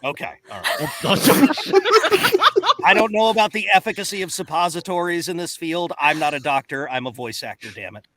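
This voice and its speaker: A man's voice: high voice, very animated, normal speed, pushed and bright, dry recording. A man bantering on a voice actors' panel in a playful, mock-serious way. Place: indoors on a video call.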